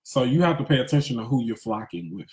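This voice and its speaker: A man's voice: medium pitch, fast talker, a little mellow. A man in his thirties giving a casual, emphatic spiritual pep talk in candid slangy speech, repeating phrases for emphasis. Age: 30s. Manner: conversational street-smart slang, candid. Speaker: motivational speaker. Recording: echoey, roomy sound.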